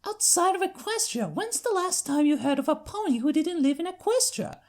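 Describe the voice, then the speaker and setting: Young female cartoon pony played by a woman, high-pitched, lively, inquisitive and chatty. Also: moderate pace. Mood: curious. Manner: bubbly, inquisitive.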